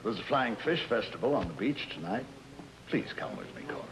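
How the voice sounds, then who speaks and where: Old radio drama: male actor as composed American judge, older, courteous, medium pitch with lively swings, clearly noisy recording. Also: natural voice. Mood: placating. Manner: polite, measured, placating.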